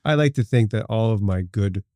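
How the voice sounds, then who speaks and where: A man's voice: on the low side, moderate pace, dark tone, dry recording. A middle-aged man talking casually as a guest in a conversational interview. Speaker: advertising strategy executive, interview guest.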